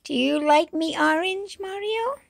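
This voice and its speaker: A woman voicing a cheerful, childlike green dinosaur in pretend play, with a high, squeaky, sing-song voice, gasping, exclaiming and now and then dropping to a whisper. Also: unhurried, gravelly, no echo, studio quality, exaggerated voice. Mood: excited. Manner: gasping and exclaiming, asks questions, drops to whispers.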